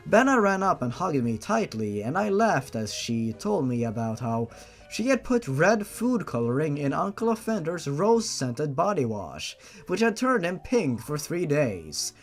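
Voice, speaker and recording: Man, story reader, exasperated and sarcastic, expressive pitch swings, light music behind. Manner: exasperated, sarcastic, swearing asides. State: feeling unwell. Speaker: fan fiction reader and host. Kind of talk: dramatic reading of fan fiction with mocking asides.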